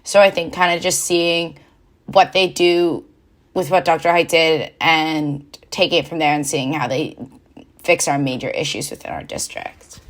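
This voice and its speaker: A woman's voice: low-pitched, fairly even intonation, a little slow. A teenage young woman with an American accent, giving considered, explanatory opinions as a student guest in a casual panel discussion.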